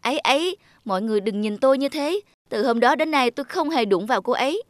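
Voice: sits high, lively intonation, fast talker, no echo, clean studio sound. An actor voicing a flustered, defensive young male thorny tree in a children's cartoon, stammering as he protests. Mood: protesting. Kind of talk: children's moral cartoon dubbing. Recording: loud music under the voice.

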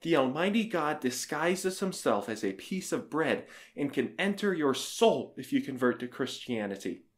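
A man's voice: medium pitch, very animated, moderate pace, close to an everyday voice. A man playing a zealous, newly converted young Roman husband in an audio drama, earnest and emphatic. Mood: fervent.

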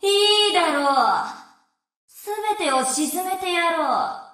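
A woman voicing a proud, wrathful abyssal warship demoness in a Japanese anime game, halting and dramatic, with a mournful streak. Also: extremely high pitch, lively intonation, sharp tone, heavily acted. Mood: furious, menacing. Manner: slow, halting, dramatic delivery. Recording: echoing, distant-sounding game voice.

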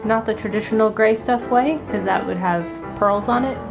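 A woman's voice: a little high, normal speed, creaky, highs cut off. Roomy-sounding American woman, casual cooking host. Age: young adult. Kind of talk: casual recipe demonstration. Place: home kitchen, indoors. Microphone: camera a few steps away. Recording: roomy, distant mic, loud music behind.

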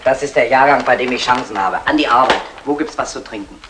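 An actor playing a cocky, boozy small-time crook in a vintage German radio comedy, flippant and mock-courteous. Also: male voice, medium pitch, quick pace, close to an everyday voice. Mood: brisk, cocky. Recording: noisy old 1960 radio recording.

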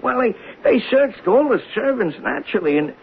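A man's voice: very high-pitched, sing-song, moderate pace, breathy edge, heavily acted. An actor playing a nervous, pleading working-class barman who stammers defensively in a 1970s radio drama. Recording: tinny, hissy 1970s radio recording.

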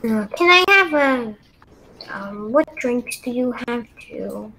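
Woman acting as shy nervous schoolgirl, very slow halting delivery, fairly high voice, game roleplay, faint noise. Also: lively intonation, sharp tone, full character voice. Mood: hesitant. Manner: hesitant, halting. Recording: noisy home mic with room ambience.

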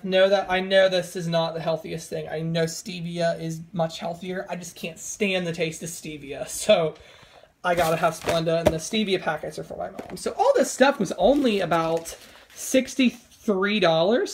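A woman talking in a casual, rambling, informal way as a vlogger, with a creaky voice, recorded indoors at home on a handheld camera. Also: on the low side. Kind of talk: casual haul vlog chat. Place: indoors at home, over a table of groceries.

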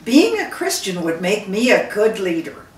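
An older woman reading the part of a gentle, devout prince with a reverent, measured delivery. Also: mid-range voice, big pitch swings, lightly acted. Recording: roomy, distant microphone.

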